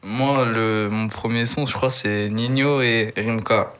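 A man's voice: on the low side, steady tone, slow pace, a bit bright. A teenage guy from the Paris suburbs, a young rapper giving short, laconic answers in an interview. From Seine-Saint-Denis, France.

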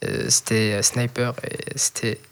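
A teenage male from Nantes answers interview questions in casual speech. His voice sits at a middle pitch and stays quite flat, with little melodic movement. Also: measured pace, smooth. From Nantes, France. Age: teens, seventeen. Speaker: young rapper, interviewee. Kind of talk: casual chat.

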